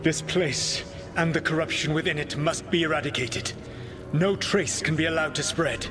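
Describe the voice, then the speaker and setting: A solemn elven god played by a man in a fantasy game, with a fairly high male voice. He speaks formally and gravely, stern and burdened, over prominent music. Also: fairly even intonation, moderate pace, lightly acted. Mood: sorrowful resolve. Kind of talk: dramatic video game acting.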